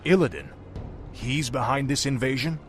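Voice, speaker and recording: An actor playing a grim, arrogant undead knight prince in a game cutscene, haughty and urgent. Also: male voice, medium pitch, big pitch swings, normal speed, a bit bright, soft background music. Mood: taken aback. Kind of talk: video game cutscene acting.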